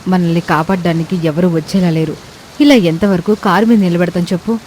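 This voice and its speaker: A woman's voice: lowish voice, steady tone, brisk, a little mellow, close to an everyday voice. Woman playing selfish young wife, panicky, demanding, complaining, story drama, faint rain and engine noise. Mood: whining, demanding. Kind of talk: Telugu moral story voice acting.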